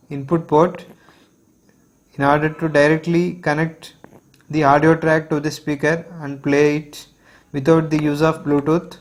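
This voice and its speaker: An Indian man presents a product review in a fairly high male voice. His intonation stays flat and unvarying. He explains things step by step, methodically and with repeated phrasing. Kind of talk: unboxing and product walkthrough narration.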